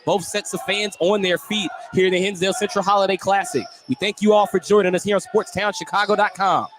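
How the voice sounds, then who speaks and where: A man calling live high school basketball on radio, stretching out his phrases on big plays. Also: fairly even intonation, quick pace, music in the background. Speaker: play-by-play sports announcer.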